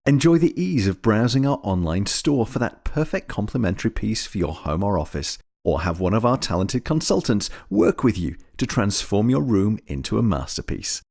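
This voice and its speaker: A man reading a scripted commercial voice-over in a measured, smooth sales delivery. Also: fairly low pitch, a little mellow, dry recording, studio quality.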